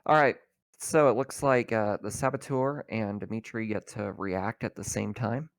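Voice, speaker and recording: Gravelly, low-voiced man running a game. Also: steady tone, normal speed, no room sound. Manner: informal, explanatory. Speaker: game master. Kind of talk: casual tabletop roleplaying game session.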